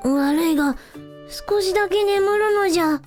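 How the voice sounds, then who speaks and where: A little dragon prince speaks in a very high, childlike voice. He is proud and earnest, with quaint old-man sentence endings, in radio-drama style. Faint music plays behind. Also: measured pace, darkish tone. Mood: hungry, sleepy. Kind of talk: amateur anime-like radio drama acting.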